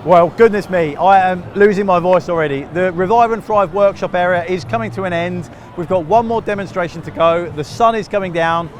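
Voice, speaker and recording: A man's voice: high voice, fairly quick, few pauses, faint music behind. A man hosting a vlog at an outdoor event, chatting casually and encouragingly with craftspeople and finishing their sentences. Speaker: vlogger and event workshop host. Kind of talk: casual on-site interviews and vlog talk. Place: outdoors at a busy motor racing event. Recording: outdoor crowd noise. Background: crowd chatter.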